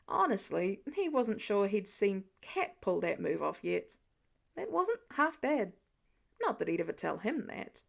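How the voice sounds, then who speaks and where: A woman narrates scripted fiction in a fairly high voice. Her delivery is expressive, leaning on key words with a dramatic, wry touch.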